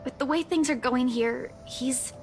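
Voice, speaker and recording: An actress playing a tired, defensive young woman who answers with curt, sharp retorts. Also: high voice, unhurried, faint music behind. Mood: worried.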